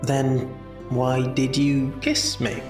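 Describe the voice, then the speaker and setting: An actor playing a genial, teasing Victorian doctor with a courteous, slightly formal manner, recorded at a distance in a roomy space. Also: male voice, mid-range voice, completely flat delivery, takes it slowly, music in the background, close to an everyday voice. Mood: playful. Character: male Victorian hospital doctor, genial, teasing, enthusiastic anatomist. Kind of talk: audio drama acting.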